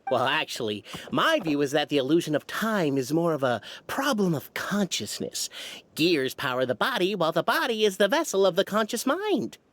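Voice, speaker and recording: An actor playing a pedantic, contrarian philosopher in a video game, with music behind the voice. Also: male voice, a little high, big pitch swings, moderate pace, a bit bright, no room sound. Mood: philosophizing. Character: pedantic contrarian philosopher, loves abstract theory. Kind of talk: theatrical video game character acting.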